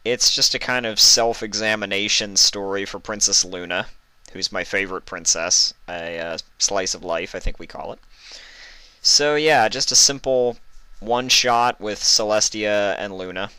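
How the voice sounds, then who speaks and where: A man reading stories as a host, with expressive narration into a close microphone. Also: on the low side, normal speed, smooth, dry recording. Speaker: story reader and channel host. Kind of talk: expressive scripted storybook narration with casual introduction.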